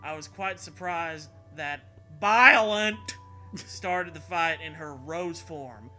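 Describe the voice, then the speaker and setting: Bright-voiced man reading a story, music behind. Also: fairly high pitch, takes it slowly. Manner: irreverent, sweary, often breaks into laughter. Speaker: host reading a story aloud with commentary. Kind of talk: comedic dramatic reading with casual riffing.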